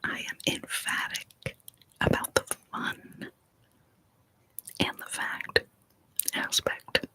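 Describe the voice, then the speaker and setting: A young adult from Texas whispering playful tongue twisters and small talk in an ASMR video, each word shaped crisply. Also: slow pace, pauses often, bright, forceful tone. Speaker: ASMR creator.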